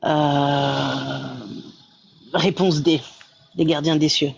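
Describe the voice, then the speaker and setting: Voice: mid-range voice, fairly even intonation, extremely slow, vocal fry, slightly rough. Hesitant quiz contestant, dull sound. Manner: hesitant, many fillers. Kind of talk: casual live call-in.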